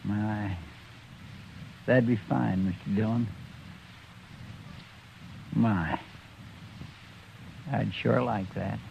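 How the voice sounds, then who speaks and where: Gravelly, stammery loyal deputy, old western radio play, dull sound. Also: male voice, on the low side, steady tone, drawn-out words, pauses often, heavy background noise, exaggerated voice. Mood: earnest. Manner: stammering and deferential. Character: loyal, bashful frontier deputy, young-ish man. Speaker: actor. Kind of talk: 1950s radio drama acting. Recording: dull vintage 1950s radio recording.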